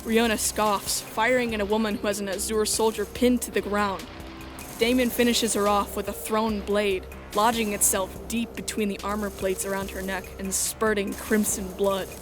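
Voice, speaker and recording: Female narrator for a first-person action story, medium pitch, scripted dramatic read with music playing behind. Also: flat delivery, normal speed.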